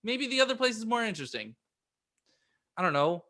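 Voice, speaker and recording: A man co-hosting a comedy podcast, chatting casually in a halting, scattered, excitable way. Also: high voice. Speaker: podcast host.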